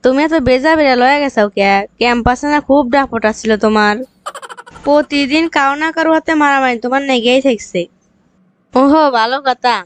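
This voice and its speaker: A woman acts out a young female cartoon boss with a very high voice and a rural Bangladeshi accent. She scolds with bitter sarcasm, like an ex with a grudge. There is some noise behind the voice. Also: a little fast, lightly acted. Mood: bitter accusing. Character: scornful young female job interviewer, bitter ex-girlfriend. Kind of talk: cartoon comedy dialogue.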